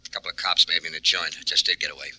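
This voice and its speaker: A man playing a tough, smooth-talking, commanding drug smuggler in a 1940s radio drama, with terse tough-guy slang. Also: low voice, steady tone, racing, pushed and bright, no echo, heavily acted. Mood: urgent, commanding.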